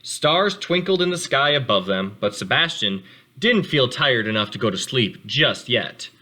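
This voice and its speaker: Man, children's read-aloud host, very animated pitch, bright voice, storytelling with casual asides. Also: a little slow. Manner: expressive, addresses children directly.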